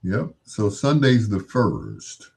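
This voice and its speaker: A man's voice: fairly low pitch, wildly swooping pitch, slow, creaky, a little mellow, slightly rough. An American man hosting in a casual, rambling monologue, musing aloud and posing rhetorical questions. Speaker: radio-style host.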